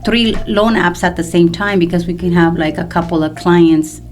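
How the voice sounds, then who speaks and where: A woman's voice: fairly low pitch, normal speed, a little mellow. A woman in her fifties from El Salvador, talking conversationally and explaining at length as an interview guest. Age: 50s. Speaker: mortgage loan professional, podcast guest. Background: music in the background.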